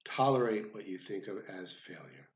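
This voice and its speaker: A man guiding a meditation as an instructor, speaking in a measured, deliberate way with frequent pauses. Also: sits low, big pitch swings, echoey room, muffled recording. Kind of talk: guided body scan meditation instruction. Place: room with the door left open.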